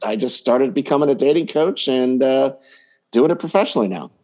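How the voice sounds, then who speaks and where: A man's voice: mid-range voice, lively intonation, fairly quick, darkish tone. A man talking casually and explaining his coaching work as a guest in an interview. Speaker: dating coach, podcast guest.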